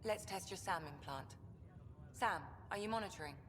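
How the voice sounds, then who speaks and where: An actress playing a brisk, reassuring ship's doctor in a video game, speaking in a matter-of-fact way. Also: female voice, medium pitch, a bit bright, long reverb tail, slight background noise, natural voice.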